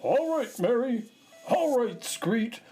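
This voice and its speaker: Wry, expressive space-horse played by a woman. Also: on the high side, takes it slowly, music in the background. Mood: encouraging. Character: practical, wry talking space-horse sidekick. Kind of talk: children's audio drama acting.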